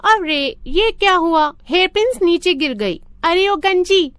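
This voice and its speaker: A woman's voice: very high-pitched, animated, unhurried, fairly bright tone, dry recording, clean studio sound, pitched above the performer's own voice, exaggerated voice. A woman voicing a young schoolgirl, bossy and touchy, with a pert, taunting delivery.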